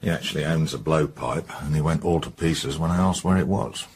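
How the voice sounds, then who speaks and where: An actor playing a blunt, suspicious middle-aged English police inspector, bluff and colloquial, in a radio drama. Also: male voice, extremely low pitch, a little fast. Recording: clear background noise and ambience.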